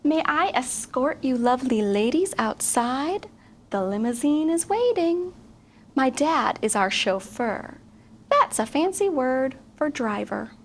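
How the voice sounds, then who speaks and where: A middle-aged woman teacher reading a picture book aloud to children, expressive and asking young listeners questions. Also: high-pitched, slow pace, slight background noise. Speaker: guest reader, teacher.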